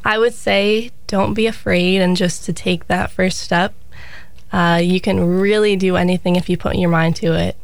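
Woman in her twenties, gravelly voice, upbeat interview guest. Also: on the low side, fairly even intonation, moderate pace, no room sound. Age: 20s. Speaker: pilot, flight center co-owner. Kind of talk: interview answers.

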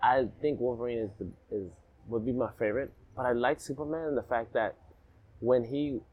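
A man from Alabama who grew up partly in Jamaica, telling his story as a podcast guest in a reflective, animated way. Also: medium pitch, fairly quick, narrow, tinny audio. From Alabama, raised partly in Jamaica. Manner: reflective, animated, rhythmic repetition. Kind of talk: casual storytelling in a podcast interview.

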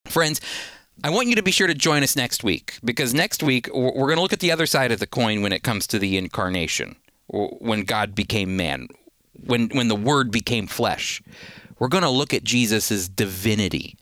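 A man's voice: mid-range voice, expressive, normal speed, bright, forceful tone, dry recording, clean studio sound. A man teaching the Bible in an earnest, emphatic way, often repeating a word as he starts a point.

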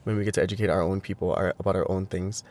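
Young man, low voice, halting answers. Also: steady tone, a little mellow. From Hawaii. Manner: hesitant, many fillers and restarts. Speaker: interviewee, language teacher. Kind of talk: casual interview answers.

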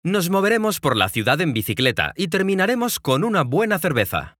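A young Mexican man in his twenties reads a scripted learner's story in a clear, friendly voice that talks straight to the listener. His pitch sits a little high and rises and falls with plenty of life. The sound is dry and close, with no room around it. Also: sing-song, fairly quick, a bit bright, no room sound. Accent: Mexican Spanish. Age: 20s. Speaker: podcast host. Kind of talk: scripted narration for language learners.

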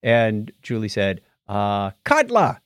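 A man's voice: lowish voice, huge pitch swings, slow, smooth, no room sound, studio quality. A man co-hosting a casual podcast with playful, jokey banter. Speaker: podcast co-host.

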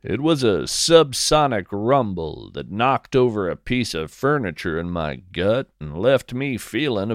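A man narrates scripted fiction with a slow, deliberate pace. His low voice moves expressively up and down as he tells the story. The sound is dry and close, with no room around it. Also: no echo. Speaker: podcast host and narrator.

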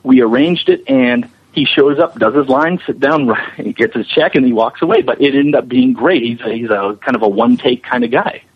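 A man's voice: mid-range voice, normal speed, hardly any pauses, slightly rough. A man being interviewed, telling anecdotes in a chatty, enthusiastic, casual way. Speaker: visual effects animator being interviewed. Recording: muffled band-limited radio-like line.

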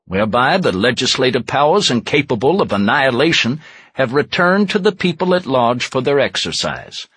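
A man giving a formal, measured, declamatory reading of an eighteenth-century document, like an orator. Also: mid-range voice, fairly bright tone, husky, no room sound. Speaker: historical interpreter reading aloud.

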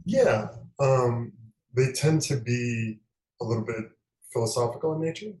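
A man from the American Midwest, a novelist, answering interview questions thoughtfully, with many fillers and pauses. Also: medium pitch, animated, mellow, echoey room, low-quality recording. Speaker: guest novelist.

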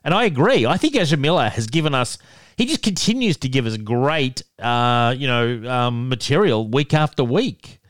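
A man's voice: mid-range voice, lively intonation, normal speed, dry recording, clean studio sound. Nasal man reading out emails. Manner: laughs often, chuckling between remarks. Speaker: podcast co-host reading listener emails. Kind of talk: casual chat.

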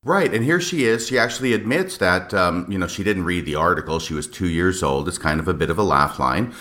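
A man's voice: sits low, lively intonation, a little fast, sharp tone. A man giving conversational, argumentative commentary as a co-host, speaking emphatically and laying out his case point by point.

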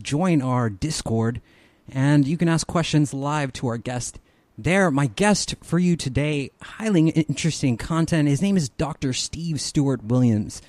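A man's voice: mid-range voice, no echo. A man hosting a podcast, introducing his guest and asking questions with enthusiasm. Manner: enthusiastic, rising into excited questions. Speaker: podcast host. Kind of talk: podcast interview.

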